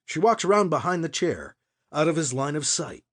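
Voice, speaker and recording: A man's voice: fairly high pitch, sing-song, normal speed, a bit bright. A man reading fiction aloud as an audiobook narrator, in a dramatic scripted storytelling style.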